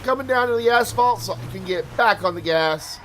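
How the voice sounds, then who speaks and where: A man's voice: high-pitched, animated, a little fast, a bit bright, slight background noise. A man talking casually over gameplay footage as a channel host, chatty and full of asides, his voice tired from hours of talking. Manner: chatty, rambling asides, self-deprecating.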